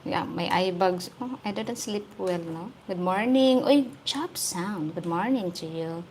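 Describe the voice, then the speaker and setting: Filipina livestream host, Filipino accent, hoarse voice, unhurried chatty delivery, laughs a lot, greets viewers by name, faint ambience behind. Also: female voice, on the low side, darkish tone, a little hiss or hum. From Philippines. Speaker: YouTube host. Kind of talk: casual livestream chat reading viewer comments.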